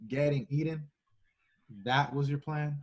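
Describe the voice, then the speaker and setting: A man's voice: on the high side, little pitch movement, unhurried. A man playing a cocky, indignant young male secret agent with streetwise talk in an amateur table read. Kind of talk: casual at-home script table read. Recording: dull lo-fi home recording.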